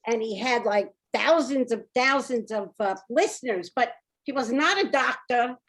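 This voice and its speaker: A woman's voice: on the high side, expressive, normal speed, a bit bright. A woman chatting casually and wryly in a group discussion on a video call. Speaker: participant in post-show discussion. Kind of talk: casual chat.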